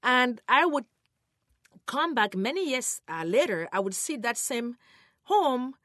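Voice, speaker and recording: A woman's voice: on the high side, sing-song, slow pace, bright, forceful tone, no echo, clean studio sound. A woman teaching the Bible in a sermon style, emphatic and earnest, speaking directly to her listeners. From Cameroon, West Africa.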